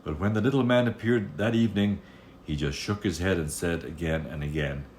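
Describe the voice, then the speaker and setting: A man telling a fairy tale aloud as an expressive storyteller. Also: low-pitched, a little fast, a little hiss or hum.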